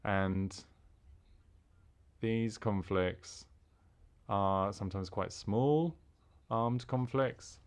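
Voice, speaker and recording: A man interpreting a formal speech into English, halting, with fillers and restarts. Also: on the low side, clean tone.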